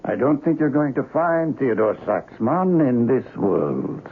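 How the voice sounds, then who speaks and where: An actor playing a grave, learned investigator of the supernatural in a radio mystery, speaking formally and deliberately. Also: male voice, medium pitch, big pitch swings. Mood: grave, knowing. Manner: precise, formal, deliberate, theatrical. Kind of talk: radio mystery drama acting. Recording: noisy dull radio broadcast recording.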